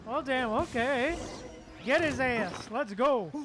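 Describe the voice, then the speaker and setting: A woman's voice: high-pitched, animated, takes it slowly. A woman streaming a video game and reacting casually to it. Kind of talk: casual reactions while gaming. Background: game music and effects.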